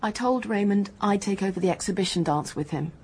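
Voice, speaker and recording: An actress playing a young, guarded, matter-of-fact English dance hostess in a radio drama, speaking in a brisk, frank, colloquial way. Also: female voice, medium pitch, darkish tone, slight background noise, close to an everyday voice. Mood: defensive, frank. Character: hard-headed working-class hotel dance hostess, guarded, matter-of-fact.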